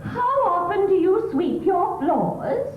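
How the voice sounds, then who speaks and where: A woman's voice: extremely high pitch, big pitch swings, slow, full character voice. A woman acting a chattering, anxious middle-class English wife in a stage comedy, gushing and needling her husband. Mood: indignant. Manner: gushing, exclamatory, posh. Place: theatre stage. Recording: echoey stage recording, tinny, hissy.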